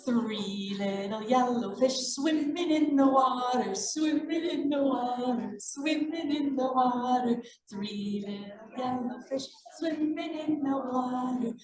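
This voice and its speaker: A woman informally hosting a children's singalong, speaking to young children with gentle repetition. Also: sits high, slow pace, hardly any pauses, a crowd in the background. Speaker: children's storytime host. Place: echoey indoor room, library event. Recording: echoey room, distant mic.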